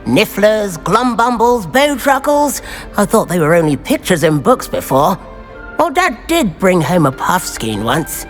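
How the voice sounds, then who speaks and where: A man's voice: high-pitched, sing-song, unhurried, faint music behind. A man with a British accent playing a friendly, chatty, easy-going teenage schoolboy in a video game, earnest in manner. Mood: enthusiastic. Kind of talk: video game character dialogue.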